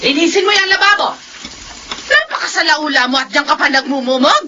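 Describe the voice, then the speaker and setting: Shrill, very high-pitched spiteful old grandmother. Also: animated, a little fast, pushed and bright, a little hiss or hum. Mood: angry, scolding. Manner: shrill, berating, melodramatic. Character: spiteful, scolding grandmother, dramatic and quick to anger. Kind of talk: Filipino radio drama acting. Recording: dull lo-fi broadcast, music underneath.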